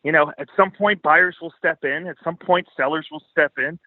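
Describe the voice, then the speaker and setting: A man's voice: fairly high pitch, moderate pace, no echo. Phone-line man, blunt and emphatic. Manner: blunt, profane, emphatic, repeats points. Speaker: podcast guest, financial commentator. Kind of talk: opinionated interview commentary. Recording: thin phone-like line, dry.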